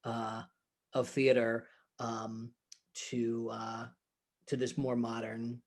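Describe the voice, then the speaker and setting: A man with a medium-pitched voice talks informally in an interview, like a director discussing his work. He is thoughtful and halting, often pausing to find the right word. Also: completely flat delivery. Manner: halting, thoughtful, searching for words. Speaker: theater director. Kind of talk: casual interview chat about a production.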